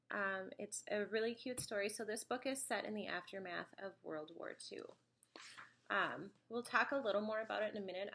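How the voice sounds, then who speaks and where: Woman, 30s, bright voice, slightly sniffly, chatty reviewer with lots of ums. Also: mid-range voice, slight background noise. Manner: chatty, frequent ums, pages through a book. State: slight cold, sniffly, occasional cough. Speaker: homeschool product reviewer. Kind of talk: casual video review.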